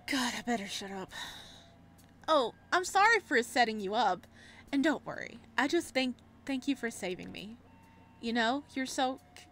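A woman's voice: on the high side, slight background noise. A woman playing a visual novel, reading its text aloud and reacting expressively as she goes. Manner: expressive, animated reactions between read lines. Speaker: streamer, let's-player.

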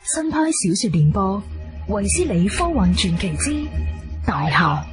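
A woman's voice: mid-range voice, very animated, unhurried, faint music behind. A woman with a Cantonese accent delivering a dramatic scripted introduction as a radio drama announcer.

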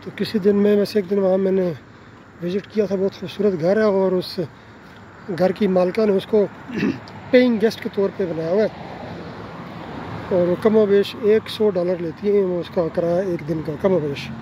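A Pakistani man with an Urdu accent narrating a walking tour of a quiet residential street, politely pointing out houses as he films on his phone. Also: sits high, steady tone, highs cut off, a little hiss or hum. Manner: polite, unhurried tour-guide commentary with frequent "ji" and "theek hai na". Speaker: travel vlogger. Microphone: handheld phone camera while walking.

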